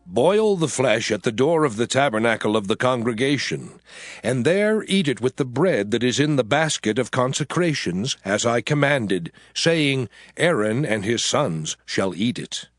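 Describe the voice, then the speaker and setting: An actor playing Moses, a stern aged lawgiver giving solemn commands in a dramatized scripture reading. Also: male voice, mid-range voice, animated, unhurried, no room sound, studio quality.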